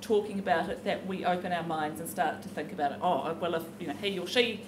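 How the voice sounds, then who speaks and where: A woman with a slightly low voice gives an informal campaign talk at a public meeting. She explains in a halting, conversational way. The live recording is echoey, with clear background noise.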